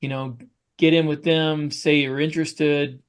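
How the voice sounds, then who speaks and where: A man's voice: a little high, flat delivery, unhurried, clean tone. A man co-hosting a podcast, casually handing out advice in a blunt, wisecracking way. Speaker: author and podcast co-host. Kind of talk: casual advice-giving chat.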